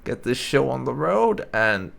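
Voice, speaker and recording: A man's voice: very animated, a little slow, creaky, a bit bright. A man hosting a let's play, recapping the story and chatting in a wry, casual way. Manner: wry, swings between high and low tones. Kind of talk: casual game recap and commentary.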